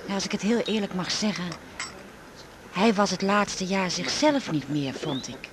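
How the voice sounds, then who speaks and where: An actress playing a wistful middle-aged widow, speaking hesitantly and reflectively in a naturalistic radio drama. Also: female voice, mid-range voice, expressive, normal speed, noisy background, close to an everyday voice. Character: wistful widow with a grown son.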